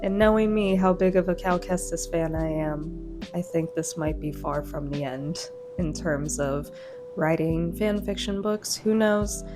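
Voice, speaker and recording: Woman, slightly hoarse, lower-pitched, earnest channel announcement, clear guitar music behind. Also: steady tone, a little slow. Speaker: channel host and fan fiction author. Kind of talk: video announcement to followers. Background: plucked guitar music.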